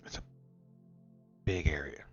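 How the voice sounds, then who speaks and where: A man chatting casually during a game stream, hesitant and repeating his words, on a dull mic with music behind. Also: measured pace.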